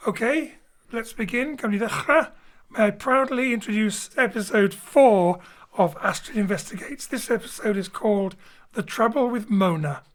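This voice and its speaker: A man's voice: high-pitched, moderate pace. A man from Wales, director and co-writer, introducing the company in a friendly, jokey way and mixing in Welsh phrases, from a home studio. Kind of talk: scripted introduction to a show.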